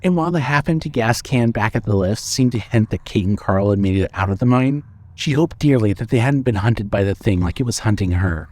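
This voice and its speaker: A man narrating a scripted suspense story in a measured, dramatic storytelling manner. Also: fairly low pitch, animated, darkish tone.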